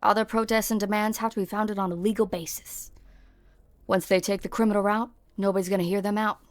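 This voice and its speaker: A woman's voice: mid-range voice, little pitch movement, a little fast, lightly acted. A woman voicing a brusque police officer in an amateur fan dub, curt and sarcastic. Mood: stern.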